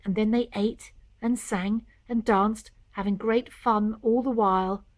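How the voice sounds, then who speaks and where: A woman's voice: medium pitch, fairly even intonation, measured pace, no echo. A woman narrating a children's storybook in rhyming verse. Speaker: author reading own story.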